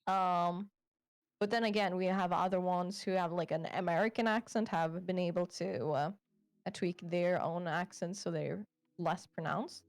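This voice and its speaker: A woman's voice: on the low side, steady tone, normal speed, no echo, clean studio sound. A woman from Sweden with a Swedish accent, a VTuber guest chatting casually, reflective and self-conscious, laughing easily. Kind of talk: casual chat.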